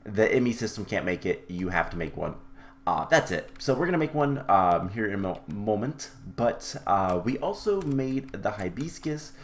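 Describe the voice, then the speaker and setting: A young man with a cold and a slightly raspy, hoarse voice, chatting casually through a modded game with lots of ums and self-corrections. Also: fairly low pitch, lively intonation, moderate pace. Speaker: gaming channel host. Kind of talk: casual Minecraft modpack let's-play commentary.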